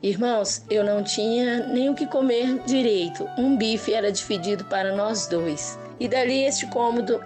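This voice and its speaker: Female, Brazilian accent, mid-range voice. Conversational faith testimony told as a story, instrumental music behind. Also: mid-range voice. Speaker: church member giving testimony. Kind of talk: personal religious testimony, storytelling.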